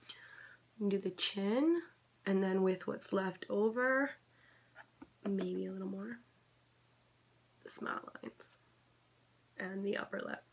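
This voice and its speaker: A young woman giving a casual makeup tutorial in a chatty, gentle instructional way, her voice a little off because she is coming down with something. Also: medium pitch, slow pace, long pauses, husky. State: slightly sick, voice a bit off. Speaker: makeup vlogger.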